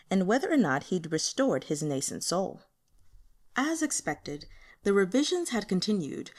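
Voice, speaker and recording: Animated woman reading fiction aloud. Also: on the low side. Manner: informal, playful, expressive. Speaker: audiobook reader. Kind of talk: animated amateur fiction narration with character voices.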